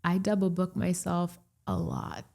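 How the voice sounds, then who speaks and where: Low gravelly woman, chatty and laughing. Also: a little slow. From Puerto Rico. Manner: animated, laughs often, chatty and warm. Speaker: real estate broker, podcast guest. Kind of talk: casual interview chat.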